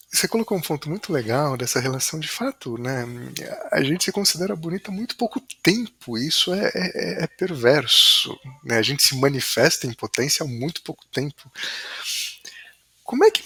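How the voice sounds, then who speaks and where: A man's voice: medium pitch, pushed and bright, slightly rough, no room sound. A man hosting an interview, asking long enthusiastic questions. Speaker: podcast host.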